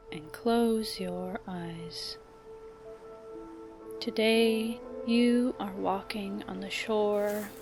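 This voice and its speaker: A woman narrating a scripted guided fantasy meditation in a measured, soothing way, with long pauses. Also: medium pitch. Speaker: podcast host and meditation narrator. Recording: loud music bed under the voice. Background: loud background music.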